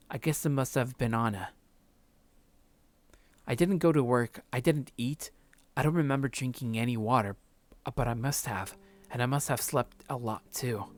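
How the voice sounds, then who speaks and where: A man's voice: mid-range voice, lightly acted. A man reading first-person visual novel narration as a numb, heartbroken man, subdued and brooding. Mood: weary, despondent.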